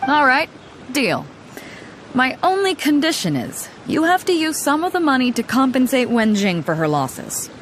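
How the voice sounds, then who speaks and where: A woman's voice: sits high, very animated, moderate pace, slight background noise. A woman voicing a confident female ship captain who talks in a breezy, commanding way. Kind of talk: game voice acting. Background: game music.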